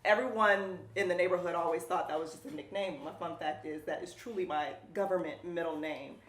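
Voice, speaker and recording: A woman's voice: fairly low pitch, fairly quick, slight background noise. A woman co-hosting a casual chat, mostly chiming in with brief agreeing remarks. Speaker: co-host. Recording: echoey room.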